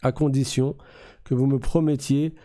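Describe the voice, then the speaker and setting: A man giving a religious storytelling lesson as a teacher, speaking didactically in short, measured phrases. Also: mid-range voice, little pitch movement, dark tone.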